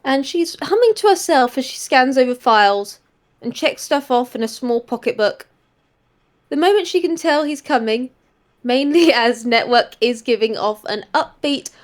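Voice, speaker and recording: A woman improvising present-tense narration as a player in a role-play story, gushing and expressive. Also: sits high, moderate pace.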